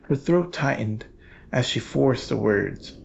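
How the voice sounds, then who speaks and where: A man narrating a scripted horror story in a solemn, measured, portentous manner with dramatic pauses. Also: mid-range voice, animated, vocal fry, darkish tone, lo-fi sound, a little hiss or hum.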